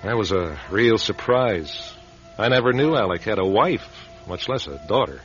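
Reflective American narrator, wry and wistful, lowish voice, dull radio sound over music. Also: male voice, very animated. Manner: reflective, halting, confiding. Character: wistful, wry man narrating his charismatic friend's rise. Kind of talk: radio drama first-person narration.

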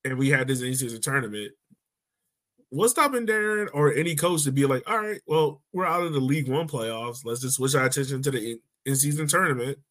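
A man's voice: mid-range voice, lively intonation, a little fast, fairly bright tone. A man hosting a sports podcast in a casual, conversational way. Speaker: podcast host.

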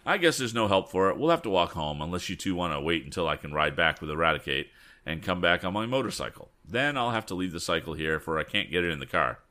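A man's voice: low voice, lively intonation, quick pace, sharp tone. A man voicing an earnest, practical young male inventor in a storybook, speaking directly and politely. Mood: resigned.

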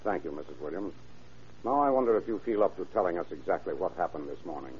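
Courteous, businesslike detective lieutenant, very low voice, old radio drama, muffled sound. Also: male voice, expressive, fast talker, slight background noise. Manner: formal, courteous questioning. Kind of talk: 1930s radio drama acting.

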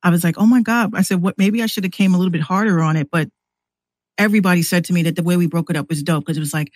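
A woman's voice: on the low side, racing, a little mellow, dry recording. A woman chatting casually as a podcast guest, telling stories with quoted asides. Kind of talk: casual chat.

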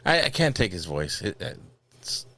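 A man's voice: lowish voice, very animated, normal speed, sharp tone. A man hosting a casual podcast chat, teasing and picky about music. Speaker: podcast host.

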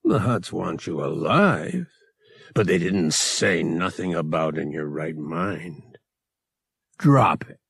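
Young bounty hunter, acted by a male reader: tough, slangy, smug, gravelly, issuing threats at a measured pace for an audiobook. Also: deep voice, expressive, no echo, clean studio sound, voice pushed down, exaggerated voice. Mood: smug, threatening. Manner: blunt, slangy, commanding.

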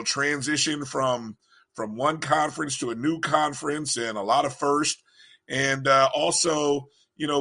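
A man hosting a casual online talk show, his voice froggy and hoarse from coaching, who often repeats words as he starts a thought. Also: on the high side, bright, forceful tone. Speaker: podcast host. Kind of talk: casual chat.

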